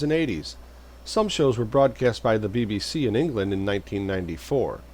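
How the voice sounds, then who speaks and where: A man's voice: medium pitch, a little mellow. A man reading a scripted, informational narration.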